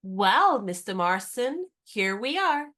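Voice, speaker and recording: A spirited young woman, played by an actress, who poses as a lady's maid and teases her companion in a brisk, knowing way. Her voice sits in a middle pitch. She sounds like a character in a radio play. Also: very animated, fairly bright tone. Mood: teasing.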